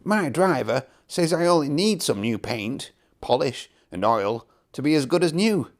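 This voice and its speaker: Man voicing a wistful old traction engine, lively pitch. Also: unhurried. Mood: sad. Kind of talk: children's storybook character reading.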